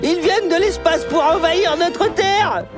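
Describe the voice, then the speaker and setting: An actor voicing an excitable, paranoid young cartoon dinosaur, dramatic and prone to stammering when alarmed. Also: very high-pitched, measured pace. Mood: panicked. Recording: loud music behind the voice. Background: loud cartoon music.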